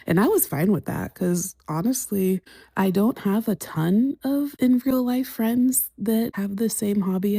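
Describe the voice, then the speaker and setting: A woman's voice: fairly low pitch, mellow, no echo. A woman in her thirties from the United States, hosting a casual solo podcast from her living room couch, talking chattily into her phone's voice memos and laughing often. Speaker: podcast host. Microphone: phone voice memo.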